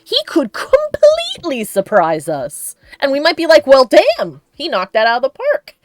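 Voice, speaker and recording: A woman's voice: high voice, huge pitch swings, moderate pace, no echo. A woman hosting a live stream, chatting casually, reading comments from chat aloud and laughing often. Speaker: streamer host.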